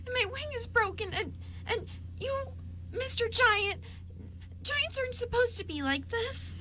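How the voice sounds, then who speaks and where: A very high, bright little fairy voice, timid and tearful, stumbling over words in a hesitant stammer. It comes from a radio play, with faint noise behind it. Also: female voice, expressive, measured pace, exaggerated voice. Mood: tearful, confused. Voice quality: small, high-pitched voice. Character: tiny frightened female fairy, timid and tender. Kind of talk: amateur radio play acting.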